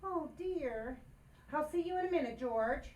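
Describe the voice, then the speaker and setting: A woman acts out an excitable housewife in an old-time radio comedy. Her voice is fairly high and swings widely in pitch, with theatrical delivery and dry wisecracks. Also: unhurried, low-quality recording. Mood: excitable, nosy. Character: scheming, excitable redheaded housewife with dry wit.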